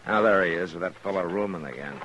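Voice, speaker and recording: A man playing a calm, shrewd middle-aged American marshal in an old radio western, speaking in a laconic, unhurried way. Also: deep voice, highs cut off, slight background noise. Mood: probing, dry. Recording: old radio recording, crowd sounds behind.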